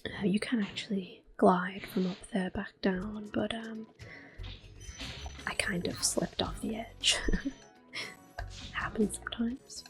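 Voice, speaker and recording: Hushed, whispering woman doing ASMR gaming. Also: medium pitch, fairly even intonation, music in the background. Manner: gentle, unhurried, hushed. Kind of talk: soft-spoken, whispered ASMR game commentary.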